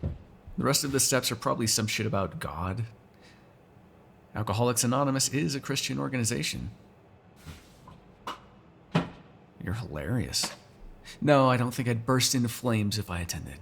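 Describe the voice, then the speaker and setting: Male actor as a vampire, very lively swooping intonation, sarcastic, teasing, restless predator, casual audio roleplay, faint background noise. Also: mid-range voice, normal speed, vocal fry. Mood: wry, bantering. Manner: sarcastic, teasing, profane banter. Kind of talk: ASMR roleplay, casual one-sided dialogue.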